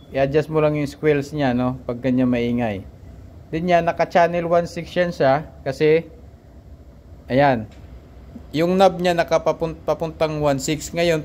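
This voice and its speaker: A man's voice: fairly high pitch, darkish tone, slight background noise. Man casually demoing ship radio gear. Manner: informal, lots of tag questions and restarts. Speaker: ship's officer demonstrating equipment. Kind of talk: casual instructional demo. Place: aboard a ship. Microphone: handheld camera.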